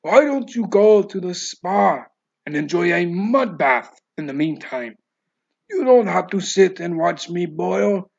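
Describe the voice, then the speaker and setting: Guy doing a resolute doomed man in a story, higher gravelly voice, bossy and fatalistic. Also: expressive, measured pace, lo-fi sound, exaggerated voice. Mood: calm, instructing. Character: grim, resolute man instructing his own death ritual.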